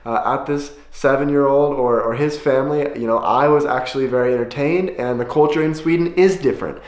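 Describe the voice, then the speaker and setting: A man's voice: medium pitch, brisk. An American man, a young adult, talking casually and thoughtfully to camera, often restarting his sentences. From United States. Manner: reflective, with frequent restarts and fillers. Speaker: vlogger. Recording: echoey room.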